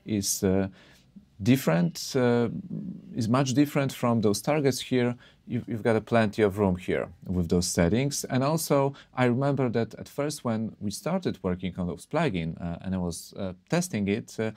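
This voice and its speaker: A man's voice: medium pitch, lively intonation, moderate pace, darkish tone, no echo. A man in his thirties casually reviewing an audio plugin from his small home studio, hesitant, with many fillers. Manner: hesitant, many uh fillers, slightly non-native phrasing. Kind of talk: casual tech review talk. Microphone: studio condenser mic on arm.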